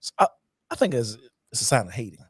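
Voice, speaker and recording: A man co-hosting a podcast in casual chat, talking things through thoughtfully and clearing his throat now and then. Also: mid-range voice, big pitch swings, fast talker, dry recording, studio quality. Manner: thoughtful, many fillers and restarts, throat-clearing. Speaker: podcast co-host.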